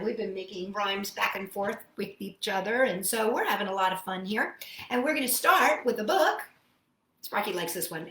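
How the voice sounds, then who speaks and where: A woman hosting children's storytime, reading picture books aloud and chatting to young listeners in a playful, expressive, sing-song manner. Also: fairly high pitch, reverberant.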